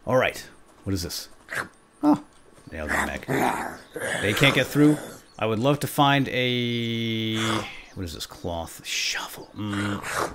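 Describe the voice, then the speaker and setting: A man's voice: fairly low pitch, background noise. A man hosting a let's play, commentating playfully and exclaiming often as he talks to himself and to viewers. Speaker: gaming video host.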